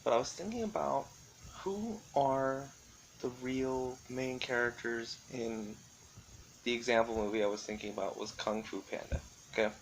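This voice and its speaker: A man's voice: medium pitch, normal speed, poor audio. A man giving casual, rambling solo commentary as a vlogger, thinking aloud and often qualifying what he says in a tentative way. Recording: clearly audible background noise.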